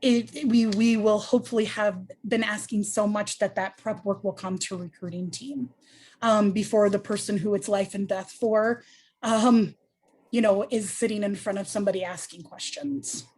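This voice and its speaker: A woman's voice: mid-range voice, fairly even intonation, moderate pace, darkish tone. A woman on a panel, answering a question in a thoughtful, earnest way with frequent ums and restarts.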